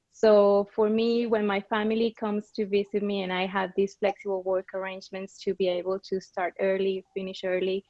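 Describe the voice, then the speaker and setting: A woman's voice: medium pitch, no room sound. A woman from Colombia with a Colombian Spanish accent, sharing a personal story openly on a panel over a video call. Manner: friendly, open, with fillers. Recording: dry, dull lo-fi video call.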